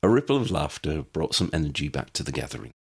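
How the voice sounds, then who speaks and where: A man narrating an audiobook in an expressive, deliberate storytelling manner. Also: rumbling low, gravelly, slightly rough. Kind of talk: scripted storybook narration with character voices.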